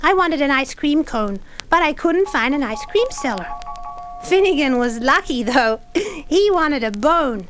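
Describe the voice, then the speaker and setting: A woman's voice: high voice, animated, unhurried, fairly bright tone, low-quality recording. A woman voicing a cheerful, giggly small boy puppet who tells and sings a story for children. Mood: chatty. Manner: giggly, sing-song. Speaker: children's show character. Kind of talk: sung and told story for children. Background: children's music.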